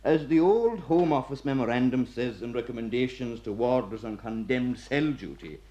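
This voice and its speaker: An actor playing an older Irish prison warder, gruff yet fatherly, lecturing wryly in a radio play. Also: medium pitch, normal speed, warm tone, lo-fi sound, close to an everyday voice. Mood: instructing.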